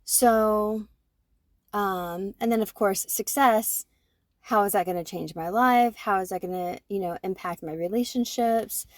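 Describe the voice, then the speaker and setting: A woman's voice: medium pitch, a little slow, no room sound, clean studio sound. Chatty reflective woman in her forties. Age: 40s. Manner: animated, self-reflective, fond of tag questions like "right?". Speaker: podcast co-host. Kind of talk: casual conversation.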